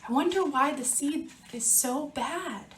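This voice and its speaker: A woman teaches through a picture book, pausing to put simple questions to young students. Her voice sits a little high and moves slowly. The room around her is noticeably echoey. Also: a bit bright, slight background noise. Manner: simple, instructive, prompting with questions. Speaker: teacher reading aloud to students. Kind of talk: picture-book read-aloud lesson with questions to children.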